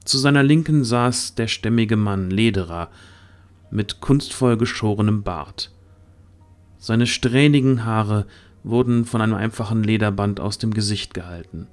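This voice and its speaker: A man's voice: lowish voice, unhurried. A man narrating a fantasy audio drama as scripted storytelling.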